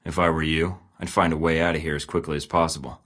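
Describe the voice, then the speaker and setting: A man's voice: rumbling low, level tone, fast talker, fairly bright tone. An actor playing a blunt, sarcastic male spy agent in video game dialogue, with dry, clipped lines. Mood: terse.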